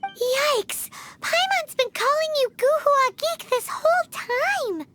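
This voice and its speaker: A woman voicing a tiny, excitable female fairy-like companion in a game cutscene, squeaky and prone to panic. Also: slow, background noise, full character voice. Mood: panicked. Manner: squeaky, talks of herself by name, panicky.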